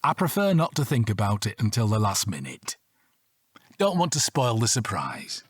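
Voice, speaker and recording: A man playing a grumpy, dry-witted middle-aged British police detective in an audio drama, deadpan and sardonic. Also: on the low side, normal speed, husky, no room sound, heavily acted.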